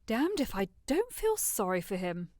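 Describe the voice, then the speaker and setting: Woman acting sardonic noble bride, animated voice. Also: fairly high pitch, moderate pace, no echo, clean studio sound. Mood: teasing. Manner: sardonic, dismissive. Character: bored, mocking noble bride. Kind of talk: modernized Shakespeare audio drama comedy.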